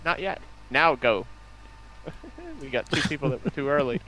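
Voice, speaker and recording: A man's voice: medium pitch, normal speed, bright, forceful tone, slight background noise, rough recording. A man chatting casually as a guest on a live stream. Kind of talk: casual chat.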